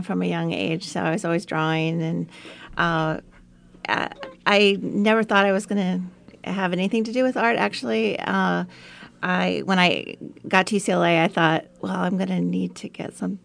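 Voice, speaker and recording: A woman's voice: on the low side, fairly even intonation, normal speed, vocal fry, a bit bright. A woman art professor, a radio interview guest, telling casually how she came to art. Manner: reflective storytelling, casual.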